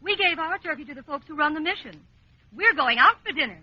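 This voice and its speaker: An actress playing a placid, patient middle-aged wife and mother with an American accent in a vintage radio comedy, genteel and gently chiding. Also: sits high, animated, fast, heavy background noise. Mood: gentle. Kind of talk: 1950s American radio sitcom acting. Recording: muffled old radio recording.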